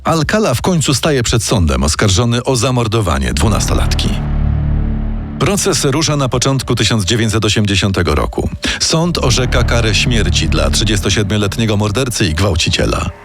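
Animated male true-crime narrator over music. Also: lowish voice, moderate pace. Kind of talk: scripted dramatic narration.

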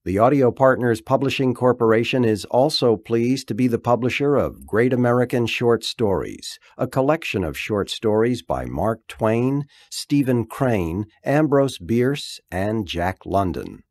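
A man reading a scripted publisher's announcement in a formal, measured way. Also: medium pitch, animated, a little mellow, dry recording. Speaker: audiobook credits announcer.

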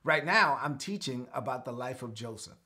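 Middle-aged pastor from Brooklyn, daily livestream sermon, earnest and declarative, repeats phrases for viewers, seated home-studio setup. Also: male voice, mid-range voice, expressive, normal speed, bright, forceful tone. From Brooklyn, New York. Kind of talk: live-streamed daily sermon. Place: home studio with lit shelves.

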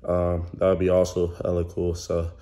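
A young man vlogging casually to his phone in a hotel room, with a relaxed slangy delivery full of ums. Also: deep voice, normal speed. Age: young adult. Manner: laid-back slangy talk with many ums. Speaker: college basketball player vlogger. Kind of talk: casual vlog to camera. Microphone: phone held at arm's length.

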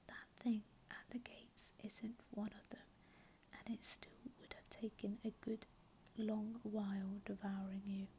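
A stern male faerie lord with claws and fangs, hushed to a whisper in a storybook reading, played by a woman. The tone stays soft, mellow and level. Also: medium pitch, flat delivery, unhurried, slight background noise, lightly acted, low-quality recording. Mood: scolding.

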